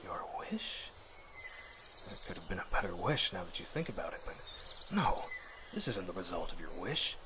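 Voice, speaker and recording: A man with a low voice narrates a horror audio drama with deliberate, suspenseful delivery. He tells it in the second person like an old radio storyteller, over a clearly noisy bed of ambience. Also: sing-song.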